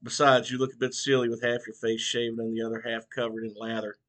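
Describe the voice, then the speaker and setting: A man's voice: mid-range voice, little pitch movement, fast talker, fairly bright tone, natural voice. A man voicing a cranky eighty-one-year-old male barber who teases bluntly in a folksy way. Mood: nervous. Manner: blunt, teasing, folksy drawl.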